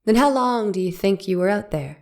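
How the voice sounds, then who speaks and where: A woman's voice: mid-range voice, lively intonation, brisk, lightly acted. Woman playing a probing 1890s courtroom attorney. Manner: pressing, leading questions. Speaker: cross-examining lawyer. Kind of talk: dramatic reading of a trial transcript.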